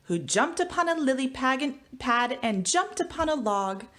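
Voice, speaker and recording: A woman teacher giving a storybook read-aloud for children, with expressive delivery. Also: high voice, moderate pace. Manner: expressive, animated reading. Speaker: elementary school teacher.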